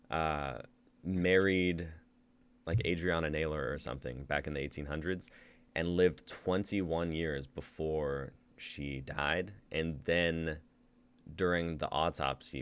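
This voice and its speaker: A man's voice: a bass voice, unhurried, clean tone. A man hosting a live reading stream, chatting casually and swearing and laughing as he tells a story. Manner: loose, swearing, laughing between phrases. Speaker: reading host. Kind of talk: casual chat after a read.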